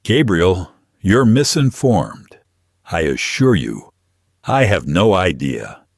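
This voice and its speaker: Corrupt patrician judge for audiobook, man acting: pompous, complaining bluster, animated pitch, older and self-important. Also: slow pace, gravelly, no room sound, studio quality, full character voice. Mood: nervous bluster. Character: pompous corrupt male judge, silver-haired, falsely jovial.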